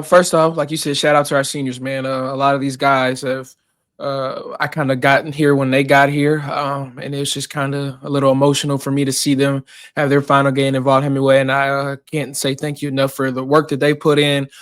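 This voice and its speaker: A young man, hoarse from losing his voice, co-hosting a sports podcast and rambling passionately with lots of fillers. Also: mid-range voice, flat delivery, brisk, dry recording, clean studio sound. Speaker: co-host. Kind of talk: casual sports podcast chat.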